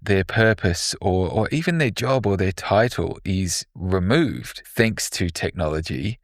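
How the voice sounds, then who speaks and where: Male podcast host, very low voice, reflective and wandering, dry close mic. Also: dry recording. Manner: reflective, long wandering questions with restarts. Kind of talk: casual interview talk.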